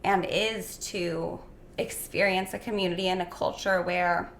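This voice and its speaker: A young woman in casual interview conversation, dreamy and full of "like" fillers, slightly hoarse. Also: fairly low pitch, fairly even intonation, a little slow, a bit bright. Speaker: guest. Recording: echoey room with faint noise.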